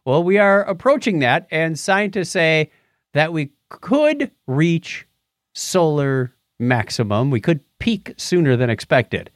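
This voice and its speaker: Guy co-hosting a science podcast, super animated pitch, jokey, dry close sound. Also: takes it slowly, dry recording. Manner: jokey, wisecracking. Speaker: co-host of a science news podcast. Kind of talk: casual banter and news commentary.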